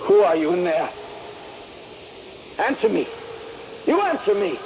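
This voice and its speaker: A muffled, noisy vintage radio drama recording carries an injured, trapped soldier. He tells of his ordeal with very lively, wide-ranging intonation, in the style of old radio acting. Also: high voice, unhurried, heavily acted. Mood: alarmed, defiant. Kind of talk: radio drama acting and first-person narration.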